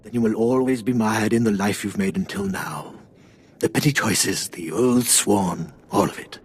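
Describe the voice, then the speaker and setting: Solemn old cult elder. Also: male voice, lowish voice, breathy edge. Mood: stern. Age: older. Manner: grave, ceremonial, deliberate. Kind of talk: scripted video game dialogue.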